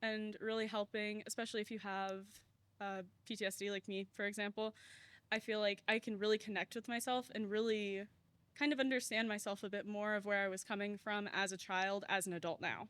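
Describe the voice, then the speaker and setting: A woman's voice: medium pitch, steady tone, brisk, fairly bright tone. Reflective young woman on a student panel. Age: young adult. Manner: reflective, uses many "like" fillers. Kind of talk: panel discussion.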